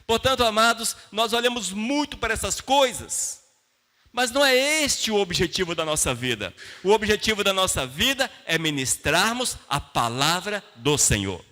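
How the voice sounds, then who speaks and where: A man's voice: sits high, very animated, normal speed, sharp tone. A Brazilian man preaching a sermon to a congregation as a pastor, teaching in plain colloquial words with rhetorical questions and short emphatic phrases. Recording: long hall reverb.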